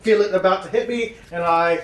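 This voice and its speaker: A man's voice: sits high, fairly quick. A man playing a tabletop game, chatting casually as he decides his moves. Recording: echoey room sound.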